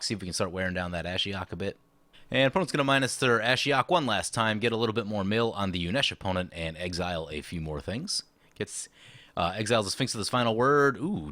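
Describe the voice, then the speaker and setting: A man with a slightly hoarse, lowish voice talks through a card game as he plays it, weighing each move aloud. His delivery swings widely in pitch, and his tone is bright and forward. The sound is close and dry. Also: no room sound. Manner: thinks aloud through his moves, chatty and explanatory. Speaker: channel host. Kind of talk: play-by-play card game commentary.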